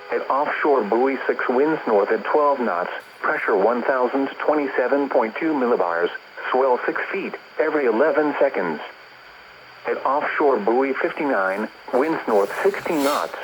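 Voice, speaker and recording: A clearly noisy weather radio broadcast carries a synthetic male announcer with an American accent. He reads a bulletin at a medium pitch, in a formal and measured way, like an automated service. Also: dull audio. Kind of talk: scripted weather bulletin reading.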